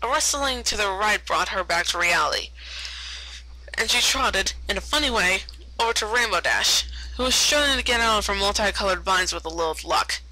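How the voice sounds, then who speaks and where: A woman's voice: medium pitch, expressive, moderate pace, bright, forceful tone, poor audio. A woman narrating a story aloud as an amateur reader, often stumbling over words and correcting herself. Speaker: amateur fan fiction reader.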